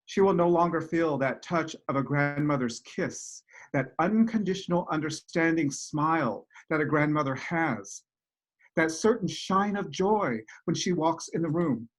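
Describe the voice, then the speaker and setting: A man's voice: fairly high pitch, a little slow. A man giving a solemn dramatic reading of a written memorial tribute, as an actor.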